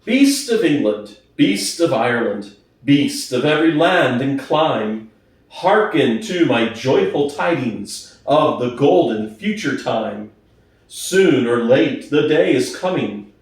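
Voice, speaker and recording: A man voicing an aged, hoarse boar who gives solemn, fervent revolutionary speeches in a storybook reading, slowly and emphatically. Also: medium pitch. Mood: rousing, hopeful. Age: older. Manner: slow, emphatic oratory with weighty pauses. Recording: distant, very echoey room.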